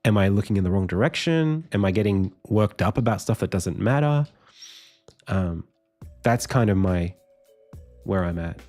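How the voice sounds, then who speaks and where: A man streaming his game development, thinking aloud in a casual way with lots of fillers and restarts. His voice is deep and croaky, and he sounds tired. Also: lively intonation, moderate pace, long pauses, a little mellow, soft background music. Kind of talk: casual live stream talk.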